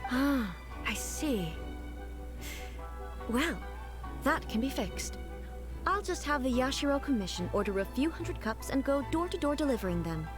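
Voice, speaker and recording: A woman voicing a regal, composed goddess ruler in a game, speaking calmly and formally over game music. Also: high-pitched. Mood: serene. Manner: calm, formal, measured. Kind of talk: game character dialogue.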